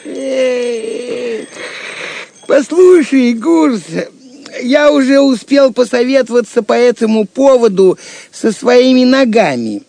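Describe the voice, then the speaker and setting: A cheeky medieval fool in an audio drama, pitched very high and swooping up and down. He teases with playful, mock-royal pomposity. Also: expressive, takes it slowly, vocal fry, darkish tone, no room sound, full character voice. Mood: mock-grand, playful. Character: Wamba, cheeky male jester, playfully pompous.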